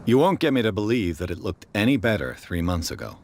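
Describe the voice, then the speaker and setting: An actor playing a brooding, blunt private detective in a video game, with a dry, pointed, questioning delivery. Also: male voice, on the low side, very animated, fairly quick. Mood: skeptical, probing. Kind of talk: scripted game dialogue. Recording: clear background noise under the voice.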